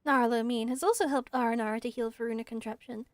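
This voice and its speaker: A woman voicing a small, gentle, earnest forest spirit from a video game, childlike and simply spoken in measured phrases. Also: a little high, fairly even intonation, dry recording, natural voice. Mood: wondering.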